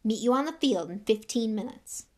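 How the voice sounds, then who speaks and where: A woman's voice: fairly high pitch, animated. Woman doing a hearty, keen team captain. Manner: hearty, brisk urging.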